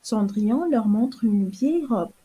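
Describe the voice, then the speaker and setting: A woman narrating a children's fairy tale for a cartoon in a calm, clear storytelling manner. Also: on the high side, measured pace.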